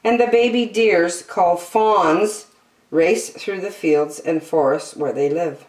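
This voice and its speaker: A woman's voice: lowish voice, takes it slowly, darkish tone, echoey room, low-quality recording. A woman hosting story time, reading a picture book aloud to young children expressively, with chatty asides and questions.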